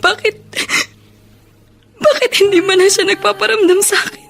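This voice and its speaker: A woman's voice: extremely high pitch, fairly even intonation, normal speed, vocal fry, a bit bright, heavily acted. An actress with a Filipino accent playing a heartbroken young woman in a plaintive radio drama performance, with music underneath. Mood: heartbroken, tearful. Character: heartbroken, sobbing young woman longing for her boyfriend.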